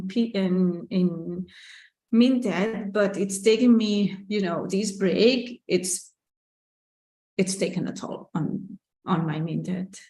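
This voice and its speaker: Catalan woman chatting in an echoey room. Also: mid-range voice. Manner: chatty and candid, with frequent "like" and "you know", small laughs. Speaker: artist and business owner hosting a live Q&A. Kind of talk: casual live-stream Q&A, reading viewer questions.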